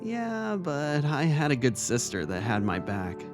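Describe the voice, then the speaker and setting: Guy voicing a kind earnest brother in an audio drama, lively pitch, soft music behind. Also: a little slow. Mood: heartfelt. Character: earnest, kindly male vice-principal and devoted brother. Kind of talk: audio drama reading of fan fiction.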